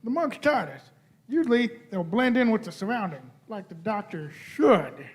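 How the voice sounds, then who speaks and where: A plucky young companion on an adventure, acted by a woman for a radio play. The delivery is informal and colloquial, in an everyday middle-pitched voice. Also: animated, normal speed, close to an everyday voice. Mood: puzzled. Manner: casual, colloquial. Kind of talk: amateur radio play performed live.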